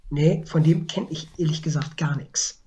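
A man co-hosting a casual podcast, speaking haltingly and stumbling over his words. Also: a little high, steady tone, dark tone, lo-fi sound. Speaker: podcast co-host. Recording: noticeable background noise.

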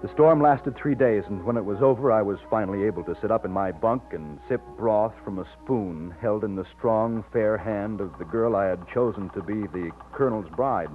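A man's voice: fairly low pitch, normal speed, few pauses, soft background music, close to an everyday voice. An actor playing a wistful, self-doubting man in an old radio romance, earnest and sometimes hesitant. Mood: reflective. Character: wistful, self-doubting one-armed man narrating his own romance. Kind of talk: 1940s radio acting, narration and dialogue. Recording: muffled old-time radio recording.

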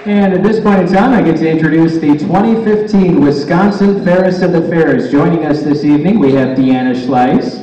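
A man's voice: a little high, moderate pace, hardly any pauses, muffled recording, background noise. A man as master of ceremonies, reading polished prepared introductions at a lectern mic. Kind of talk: formal stage introductions. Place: large hall, speaking at a lectern. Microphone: lectern mic, far camera. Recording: echoey hall from far off.

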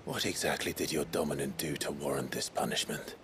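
An actor in a video game cutscene playing a world-weary outlaw leader, grave, guarded and dryly formal, with a gravelly voice. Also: male voice, extremely low pitch, noisy background. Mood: earnest, resolute. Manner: measured, dry, formal.

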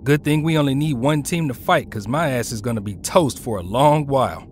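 A man's voice: mid-range voice, expressive, fairly quick, a little hiss or hum, natural voice. Easygoing teenage guy hero played by a man. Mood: casual, concerned. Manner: casual, slangy, supportive. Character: easygoing, loyal teenage male hero and friend. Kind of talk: audio drama acting.

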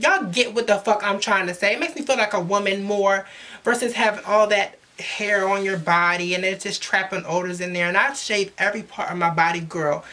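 A woman's voice: medium pitch, fairly bright tone. A young woman, giving slangy, chatty advice to her viewers in a casual vlog, recorded indoors close to the camera. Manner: chatty, sassy, slangy, addresses viewers as sis. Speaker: vlogger. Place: indoors in front of a glittery backdrop. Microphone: camera at close range.

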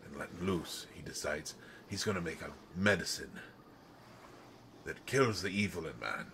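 A man playing a brutish, slow-witted but protective monster in an audio roleplay, speaking in halting phrases that swing from hushed to loud. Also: sits low, expressive, vocal fry, slight background noise, heavily acted. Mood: brooding, confiding. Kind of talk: intimate dramatic audio roleplay monologue.

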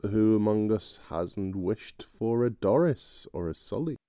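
A man's voice: on the low side, expressive, unhurried, warm tone, dry recording. A man hosting a podcast and presenting plays. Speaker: podcast host.

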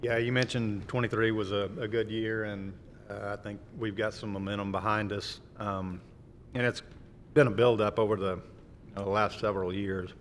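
A man's voice: level tone, moderate pace. A man on a conference panel, speaking hesitantly with lots of fillers. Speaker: contractor panelist. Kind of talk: panel discussion. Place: conference hall stage panel. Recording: reverberant conference hall, faint rumble.

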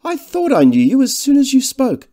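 Man doing a high-voiced, glib, sardonic younger gentleman. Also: huge pitch swings, unhurried. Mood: breezy recognition. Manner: breezy, mocking.

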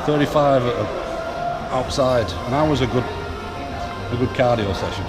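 A man's voice: measured pace, over a noisy crowd. A middle-aged man with a Northern English accent chatting casually and bluntly, with some swearing, as he vlogs on a handheld camera. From northern England. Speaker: gym vlogger. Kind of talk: casual rambling vlog chat. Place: large indoor gym with metal roof. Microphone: handheld action camera at arm's length. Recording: loud electronic gym music behind, action camera. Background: loud drum and bass music.

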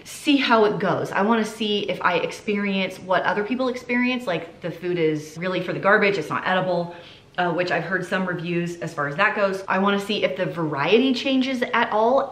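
Woman, 30s, casual home vlogger, chatty and candid, mid pitch, roomy kitchen echo. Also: a bit bright. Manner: chatty, candid, laughs at herself. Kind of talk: casual product review. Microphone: camera on a counter at medium distance. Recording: echoey kitchen room.

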